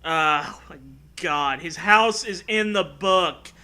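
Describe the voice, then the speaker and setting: Bright, sharp, high-voiced sarcastic man. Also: takes it slowly. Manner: mocking, sarcastic, sweary. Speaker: co-host riffing on a story. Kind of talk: casual comedic commentary.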